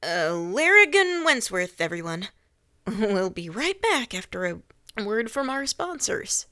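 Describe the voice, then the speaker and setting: A woman voicing a polished talk-show host in a comic dub, with smooth, flattering presenter patter. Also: medium pitch, slow pace, heavily acted. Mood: flustered.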